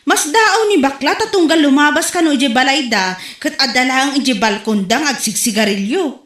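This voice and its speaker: A woman's voice: high-pitched, animated, moderate pace, fairly bright tone, echoey room. A woman playing a gossiping neighbor in a comedic audio drama, sounding scandalized.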